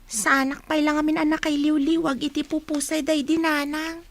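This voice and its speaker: A woman's voice: sits high, flat delivery, normal speed. A woman acting as an earnest, dutiful teenage eldest sister in a radio drama. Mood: earnest, grateful.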